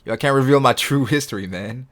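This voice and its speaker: A young man hosting a casual livestream, reading viewer comments aloud and answering them in a relaxed, rambling way. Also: medium pitch, quick pace. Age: young adult. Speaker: livestream host reading chat.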